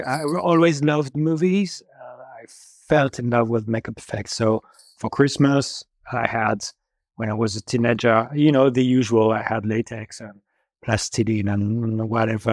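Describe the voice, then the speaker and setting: A man in his fifties speaking English with a French accent, his answers halting and full of small pauses. He sits at a middle pitch, and the sound is close and dry with no room behind it. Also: a little mellow, no echo. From France. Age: 50s. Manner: halting, many filler pauses, laughs often. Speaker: special makeup effects supervisor guest. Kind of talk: interview answers.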